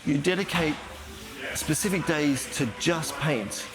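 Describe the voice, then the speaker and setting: A man's voice: a little high, a little slow, background noise. A middle-aged man from India, a host asking friendly, encouraging questions in a casual interview.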